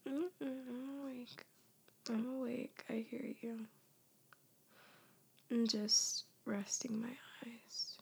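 Young woman, ASMR girlfriend role; soft and close-miked, slightly high voice, raspy and fading from a cold. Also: steady tone, slow pace, long pauses. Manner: soft, often whispered, drowsy. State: losing her voice, congested.

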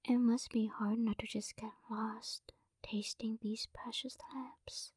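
A woman doing a whispered romantic ASMR roleplay, speaking softly and coaxingly, close to the microphone. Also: fairly high pitch, level tone, slow, no echo. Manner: soft breathy whispering, affectionate and coaxing. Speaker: ASMR performer.

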